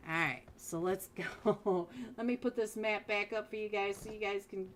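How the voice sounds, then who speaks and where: A woman casting a mobile esports match live as a solo caster, chatty and talking straight to viewers, with a voice she says is going hoarse. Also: medium pitch, fairly even intonation, moderate pace, noisy background. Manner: chatty, talks straight to viewers, frequent restarts. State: losing her voice. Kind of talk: live game commentary.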